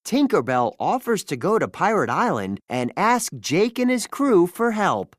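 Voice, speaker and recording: An expressive children's storybook narrator with very lively, swooping intonation. The reading is unhurried and theatrical, in a close, dry recording. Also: medium pitch, no echo. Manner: expressive, acts out dialogue.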